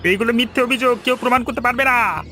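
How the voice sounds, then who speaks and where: A man's voice: very high voice, quick pace, sharp tone, a little hiss or hum, pitched above the performer's own voice. A man voicing a panicky, corrupt cartoon doctor in a pleading whine. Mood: indignant. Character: panicky corrupt male doctor, greedy, begging for mercy. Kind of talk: cartoon dialogue.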